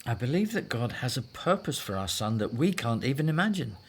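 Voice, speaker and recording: A man's voice: mid-range voice, sing-song, fairly quick, slightly rough. An actor in a scripted audio drama playing a devout Hebrew husband and father, earnest and persuasive.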